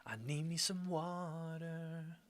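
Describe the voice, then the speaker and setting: A man's voice: a little high, level tone, takes it slowly, slight background noise. A man hosting a casual livestream, reading viewer comments aloud, thinking them over and laughing often between remarks. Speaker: livestream host. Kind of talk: casual livestream reaction chat.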